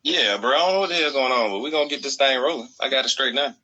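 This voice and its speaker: A man's voice: medium pitch, racing, pushed and bright, muffled recording. An American man in casual podcast chat as co-host, blunt and slangy, repeating himself for emphasis.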